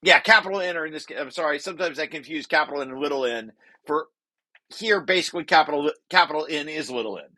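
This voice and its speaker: Bright-voiced man explaining a technical paper. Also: fairly high pitch. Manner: halting, self-correcting, repeats words. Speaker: presenter and paper author. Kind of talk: table read of a technical paper with informal explanation.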